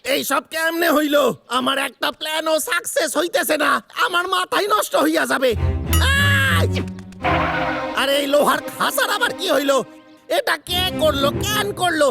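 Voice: sits high, lively intonation, a little slow, creaky, exaggerated voice. An actor voicing a hot-tempered, bumbling male cartoon villain with an eastern Bengali accent, ranting and whining. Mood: exasperated. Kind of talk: children's cartoon dub. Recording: loud music behind the voice.

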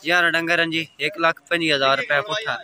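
A man, interviewing sellers at an outdoor cattle market and repeating each price back in a brisk, friendly way. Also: a little high, fairly even intonation. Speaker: livestock market vlogger. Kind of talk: casual on-the-spot interviews about prices. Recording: outdoor market with loud crowd. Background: crowd chatter.

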